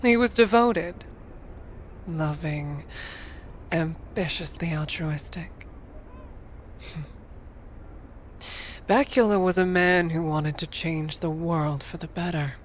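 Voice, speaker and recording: An actress playing a cool, sardonic middle-aged mother and scientist in an audio drama, with formal, arch, measured diction. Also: low-pitched, slight background noise. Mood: wistful, measured. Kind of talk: dramatic audio fiction acting.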